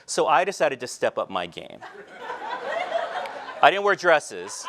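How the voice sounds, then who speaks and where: A middle-aged teacher telling personal stories in a talk on a large indoor stage, shifting between quiet reflective moments and light humor. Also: male voice, fairly high pitch, sing-song, unhurried, very noisy. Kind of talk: storytelling keynote talk. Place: large stage before a seated audience, indoors. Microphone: headset mic.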